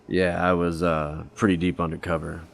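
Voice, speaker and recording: A cocky, wisecracking detective, casual and quick with a joke. His voice sits low. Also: little pitch movement, creaky. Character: young male detective, cocky ex-undercover cop.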